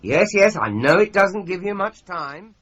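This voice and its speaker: An actor playing a brisk, authoritative police detective in a radio drama. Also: male voice, sits high, big pitch swings, fairly bright tone, dull audio, full character voice. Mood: urgent.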